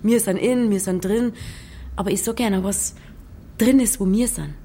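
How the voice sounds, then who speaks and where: A woman playing a stubborn, quick-witted young village innkeeper, speaking pointed Bavarian dialect in a drama. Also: mid-range voice, dark tone, a little hiss or hum, lightly acted. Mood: pointed, lecturing. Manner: feisty, pointed dialect talk.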